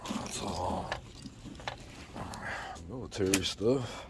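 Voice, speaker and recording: A man's voice: low-pitched, very slow. A man giving casual, off-the-cuff commentary in terse fragments as he handles items, recorded on a handheld phone. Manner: terse, muttered fragments while rummaging. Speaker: vlogger going through a storage unit. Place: storage unit with concrete floor. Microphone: handheld phone, speaker behind camera. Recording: very noisy handheld recording with clicks. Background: loud noise, clicks and rummaging.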